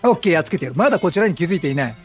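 A man giving excitable, chatty video game commentary, exclaiming often and playfully mimicking the characters. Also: on the high side, rapid-fire, slightly rough, soft background music. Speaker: gameplay streamer.

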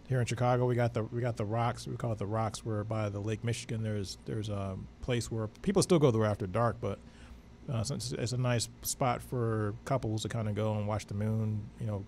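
A man's voice: lowish voice, steady tone, moderate pace, a little mellow, a little hiss or hum. A middle-aged man with an American accent, chatting casually as a radio interviewer into a close studio microphone. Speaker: podcast co-host. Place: small indoor studio. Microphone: close studio broadcast microphone.

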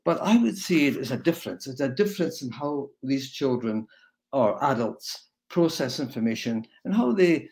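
An older man from Scotland with a Scottish accent, giving a relaxed, rambling webinar lecture over a video call from his home. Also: mid-range voice, normal speed. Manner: relaxed, rambling with fillers and restarts. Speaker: guest speaker.

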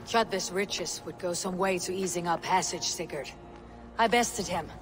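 A woman's voice: fairly low pitch, unhurried, over music, lightly acted. A woman playing a resolute, loyal young Viking warrior in a video game, firm and terse. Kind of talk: dramatic game cutscene acting.

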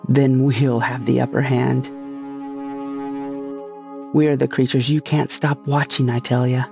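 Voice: medium pitch, flat delivery, measured pace, creaky. A narrator delivering a scripted dramatic monologue in a sci-fi audio drama. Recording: faint music behind the voice.

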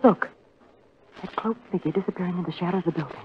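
A woman's voice: on the low side, quick pace, natural voice. An actress playing an alert, anxious lady companion to a sleuth in 1940s radio drama style. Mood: uneasy, watchful. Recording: dull, noisy 1940 radio recording.

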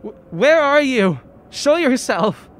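A woman playing a desperate, obsessive dying writer in an audio drama, halting and fraught as she freezes. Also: high voice, sing-song, exaggerated voice. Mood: startled, defensive. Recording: clearly noisy background.

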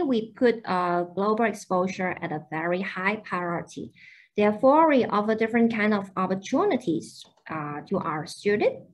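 A young woman hosting a university admissions webinar over a video call, explaining programmes with frequent fillers. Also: medium pitch.

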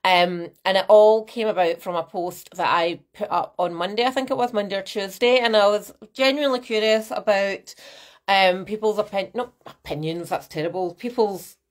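A woman's voice: medium pitch, moderate pace. A woman, a nutrition coach chatting through a live masterclass from a small room at home on her laptop mic. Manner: chatty, animated, gestures a lot, greets viewers by name. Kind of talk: live-stream masterclass to viewers. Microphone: laptop webcam mic.

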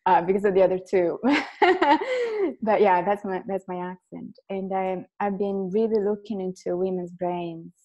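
A woman's voice: medium pitch, moderate pace, lo-fi sound. A woman in her forties from Italy, with an Italian accent, speaking earnestly as a guest on a video call from her living room through earbuds. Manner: earnest, animated hand gestures. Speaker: guest neuroscientist. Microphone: earbud headset on video call.

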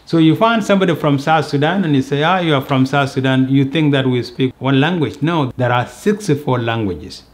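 A middle-aged man giving a measured, explanatory interview, seated indoors and working through examples. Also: medium pitch. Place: indoor sitting room.

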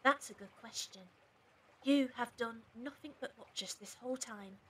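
A woman's voice: a little high, normal speed, husky, poor audio. An actress playing a determined woman among captives in an audio drama, earnest and confrontational. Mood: accusing. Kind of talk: fan audio drama acting.

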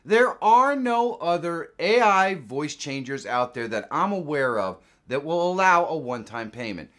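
A man in his fifties with an American accent, giving a casual software tutorial in a plain-spoken, conversational way. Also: on the high side, very animated, a little slow. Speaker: tutorial presenter. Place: small indoor home studio.

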